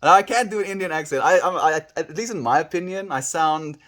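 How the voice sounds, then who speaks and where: A man's voice: on the high side, brisk, a bit bright. A man with a South African accent, streaming live and chatting casually with viewers, easygoing and full of dude and bro. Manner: easygoing, calls viewers dude and bro, trails off mid-sentence. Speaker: livestreamer. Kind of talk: casual livestream chat answering viewers.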